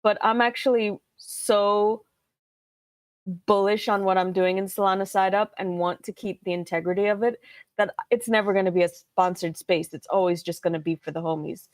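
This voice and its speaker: Animated woman, dry close sound. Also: mid-range voice, moderate pace, no room sound. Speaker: co-host. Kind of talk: casual podcast chat.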